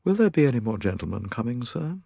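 A man voices an English manservant in an audiobook, respectful and unflappable. The lines rise and dip widely in pitch, with a creaky grain. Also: very animated, fast, warm tone, no room sound, clean studio sound, exaggerated voice. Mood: deferential. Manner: deferential, polite.

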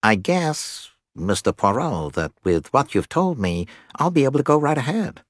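A man's voice: mid-range voice, big pitch swings, normal speed, no room sound, clean studio sound, pitched above the performer's own voice. A man voicing a keen, precise scientist with a pleasant nasal voice in a story reading. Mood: eager. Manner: enthusiastic, pleasant. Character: eager, precise male scientist doctor, thin and bespectacled.

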